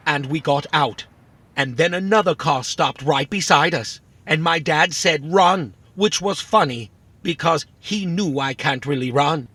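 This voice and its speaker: An actor playing a small, talkative robot in a kids' audio drama, literal, fussy, indignant and boastful. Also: male voice, on the high side, bright, forceful tone, no room sound. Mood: anxious. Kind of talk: scripted children's audio fiction acting.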